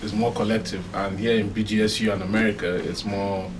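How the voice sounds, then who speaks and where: A young man answers interview questions at a measured, hesitant pace, often stopping on "um". His voice sits low and stays fairly flat in pitch. The mic is some distance away, and clear background noise is heard. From Nigeria. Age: young adult. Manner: hesitant, fills pauses with um. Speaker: interviewee, accounting student.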